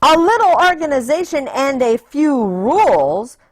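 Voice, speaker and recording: A woman's voice: high voice, big pitch swings, measured pace, dry recording. A woman voicing a strict, tidy mother bear from a storybook, speaking firmly and emphatically. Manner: emphatic, firm.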